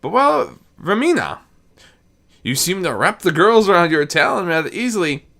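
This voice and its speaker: Woman putting on a lively, theatrical mad prince. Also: fairly low pitch, moderate pace, gravelly, pitched above the performer's own voice, exaggerated voice. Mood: amused, proud. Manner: proclaiming, easily flustered. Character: theatrical mad male prince, amused, wary father. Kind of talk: fan fiction story reading.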